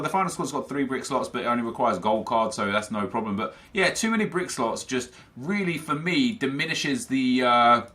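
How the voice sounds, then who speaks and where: A young man, talking casually to viewers about a football video game and reading their comments, energetic and opinionated. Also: medium pitch. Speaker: gaming YouTuber.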